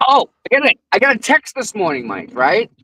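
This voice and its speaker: A man with a Boston accent, co-hosting a casual live stream, excitable and quick to repeat himself. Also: high voice, poor audio. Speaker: co-host.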